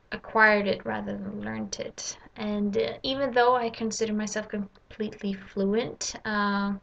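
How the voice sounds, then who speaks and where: A woman's voice: mid-range voice, creaky, fairly bright tone. A woman, speaking hesitantly in short phrases in a casual personal vlog. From Sweden. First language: Swedish. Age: 20s. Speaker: vlogger. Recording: dull, lo-fi home recording.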